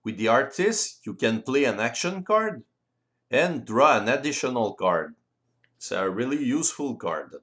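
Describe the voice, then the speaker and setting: Male game-rules presenter, native French speaker with French accent, explanatory delivery, slightly halting phrasing, mid-range voice. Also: mid-range voice, sing-song, fairly bright tone. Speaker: board game designer and presenter. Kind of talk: explanatory tutorial voice-over.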